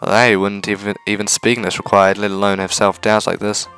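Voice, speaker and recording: A man's voice: lowish voice, flat delivery, a little fast, fairly bright tone, clean tone. A man reading a visual novel aloud as a let's player, in a narrating style with casual reactions, over game music. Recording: music behind the voice.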